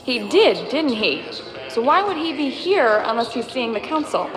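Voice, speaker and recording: An actress playing an assertive, confident military war correspondent in a video game, persuasive in manner. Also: female voice, fairly high pitch, sing-song, moderate pace, faint music behind. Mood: insistent. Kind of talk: video game dialogue acting. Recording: reverberant hall sound.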